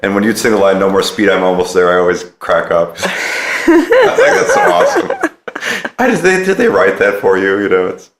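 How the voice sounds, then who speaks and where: A man's voice: sits low, wildly swooping pitch, moderate pace, a little hiss or hum. A man chatting casually as a podcast host interviewing a guest. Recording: slightly roomy interview recording.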